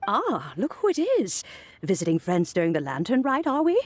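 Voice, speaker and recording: A woman playing a kindly, unhurried old lady mentor in a video game, with an aged, grandmotherly, affectionate manner. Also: medium pitch, huge pitch swings, a little mellow, no room sound. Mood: fond, amused.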